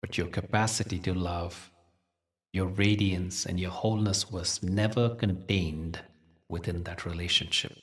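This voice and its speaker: Deep-voiced man guiding a meditation, slightly distant. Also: fairly even intonation. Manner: gentle, measured, soothing delivery with deliberate pauses. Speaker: meditation teacher and guide. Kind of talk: guided meditation with spiritual storytelling.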